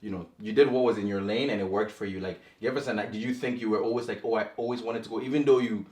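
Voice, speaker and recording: A man's voice: on the low side, fairly even intonation, quick pace, darkish tone, echoey room. A man hosting a casual interview, asking long rambling questions full of fillers. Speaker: podcast host.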